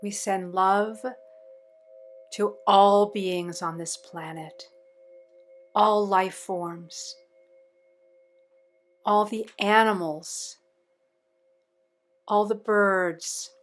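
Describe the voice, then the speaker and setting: A woman leading a guided meditation in measured, short phrases with pauses between them. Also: mid-range voice, fairly even intonation, faint music behind.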